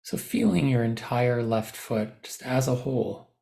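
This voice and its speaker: Male meditation guide, mid-pitched with heavy vocal fry, gentle informal instruction. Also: lively intonation, a little slow. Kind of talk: guided body scan meditation, unscripted spoken instruction.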